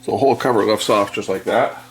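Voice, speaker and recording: Male repair host, lowish voice, plainspoken and explanatory, casual workbench narration with faint noise. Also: quick pace. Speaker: electronics repair technician and video host.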